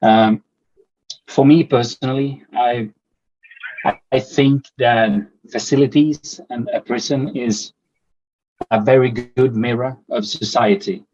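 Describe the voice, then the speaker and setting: A man's voice: mid-range voice, steady tone, slow, a little mellow, highs cut off. A man from Finland, a documentary producer and podcast guest, answering thoughtfully and repeating words as he searches for phrasing. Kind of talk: casual interview answers.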